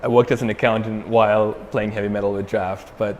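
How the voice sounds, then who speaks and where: A man's voice: lowish voice, fairly quick, in a large hall, a little hiss or hum. A young man from Sri Lanka, a metal band frontman, chatting casually as an interview guest with lots of fillers and restarts. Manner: relaxed, many fillers and restarts.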